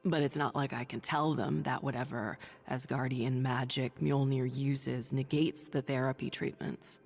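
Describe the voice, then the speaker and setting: An actress playing an ailing, stubborn woman doctor turned hero in a video game drama, speaking in a halting, confiding but resolute way. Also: rumbling low, steady tone, a little mellow, in a large hall, soft background music, exaggerated voice. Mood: tired, confiding. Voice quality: husky, breathy, creaky. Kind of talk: dramatic video game acting, audio log monologue.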